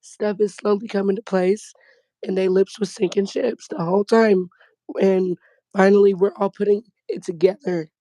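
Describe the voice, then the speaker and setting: A woman guest on a casual livestream, earnestly explaining her point with many fillers. Her voice is going hoarse and sounds mellow. The sound is dry. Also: medium pitch, fairly even intonation, normal speed, no room sound, poor audio.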